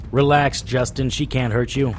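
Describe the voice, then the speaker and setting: A man's voice: medium pitch, steady tone, moderate pace, soft background music, lightly acted. A man playing a tough, wry supernatural investigator, acting and narrating in a noir audio drama. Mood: steady, protective. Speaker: narrator and lead character.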